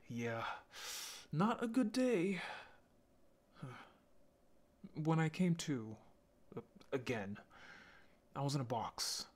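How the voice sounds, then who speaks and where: A man playing an awkward, gentle young male zombie in an intimate roleplay audio drama, stammering and hesitant. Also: on the high side, sing-song, very slow, long pauses, exaggerated voice. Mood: wry.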